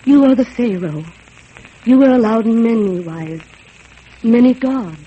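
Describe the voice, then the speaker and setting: A man voicing a gentle, devoted young queen in a high falsetto, heard through dull old film sound. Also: expressive, a little slow, husky, full character voice.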